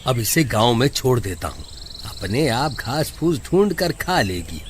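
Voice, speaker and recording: A man playing a greedy, grumpy village milkman in an animated story, scolding and complaining in an exaggerated way. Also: mid-range voice, measured pace. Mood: irritated, stingy. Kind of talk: animated Hindi moral story dialogue. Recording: noisy track, cattle and cricket sounds.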